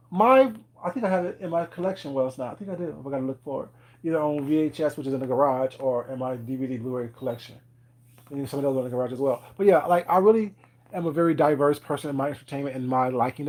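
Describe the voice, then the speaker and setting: Casual stream host, a man in his thirties, slightly higher voice, rambling and teasing the chat. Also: darkish tone. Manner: rambling, many fillers and "you know what I'm saying"; laughs often, teasing viewers. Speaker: live stream host chatting with viewers.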